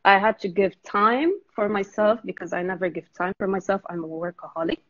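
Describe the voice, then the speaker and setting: A woman's voice: mid-range voice, fairly quick, dry recording, dull audio. A woman from Lebanon, earnestly telling her story as a guest in a video call interview. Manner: earnest, steady, with fillers.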